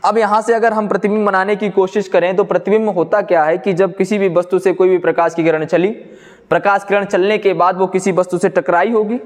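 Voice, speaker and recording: A man lecturing as a physics teacher, asking questions, answering them himself and repeating key phrases slowly for note-taking. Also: high voice. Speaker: physics teacher in an exam coaching class. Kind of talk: classroom lecture, explaining step by step. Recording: echoey hall-like room reverb.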